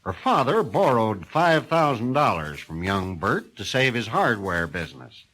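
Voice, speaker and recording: A man voicing a teasing older lawyer husband who drawls in an unhurried, wryly humorous way in a spoken horror story. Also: lowish voice, fairly bright tone, exaggerated voice, rough recording.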